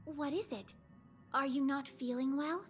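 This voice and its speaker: An actress voicing a secretive, coaxing woman who guards memories in a video game, speaking in a measured way. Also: sits high, faint music behind. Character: secretive, softly insistent mysterious woman, keeper of memories.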